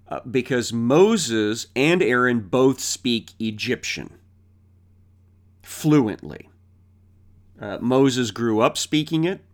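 Slow, halting male Bible teacher. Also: medium pitch. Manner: halting, thinking aloud with frequent uh fillers. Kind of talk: slow unscripted Bible lesson.

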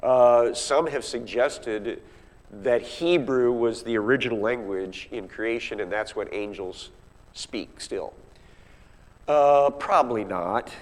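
A man's voice: medium pitch, slow pace. A man teaching from the Bible in an emphatic, conversational way, often putting rhetorical questions to his listeners. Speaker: Bible class teacher or preacher. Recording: echoey hall with long reverb.

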